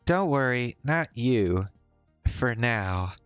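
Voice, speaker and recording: A man's voice: medium pitch, animated, slow pace, a bit bright, clear, resonant tone, no echo, dull audio. Guy doing a teasing casual girl from a visual novel, breezy, takes her time, light music behind. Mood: breezy, playful. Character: young woman, casual, chatty, playful story-game heroine. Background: faint music.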